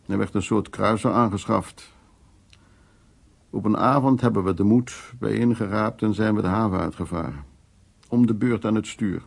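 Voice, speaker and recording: An elderly gentleman in a radio play, with a low, husky voice full of gravel. He is a wry old charmer who tells of his past in short, unhurried fragments. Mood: wry reminiscing. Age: 70s or older. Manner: unhurried, fragmentary, reminiscing storytelling. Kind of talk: naturalistic radio drama monologue and dialogue.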